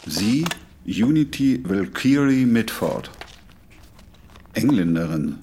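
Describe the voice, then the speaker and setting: An actor playing a clipped, probing surveillance official in a radio play, reading out reports. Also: male voice, on the low side, big pitch swings, slow pace, heavy background noise. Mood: probing, officious.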